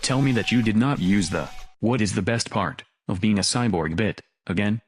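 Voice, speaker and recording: A man's voice: lowish voice, moderate pace, a little hiss or hum, lightly acted. A man playing a demanding, dryly exasperated hero in a sci-fi audio drama. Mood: weary, impatient. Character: weary, demanding male hero in custody.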